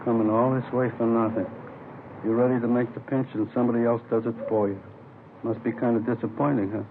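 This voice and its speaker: A man's voice: mid-range voice, flat delivery, brisk, close to an everyday voice. An American man playing a chatty, easygoing small-time police informant in a 1950s radio crime drama, folksy and rambling. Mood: wistful, wheedling. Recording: old radio, phone-like band, faint noise.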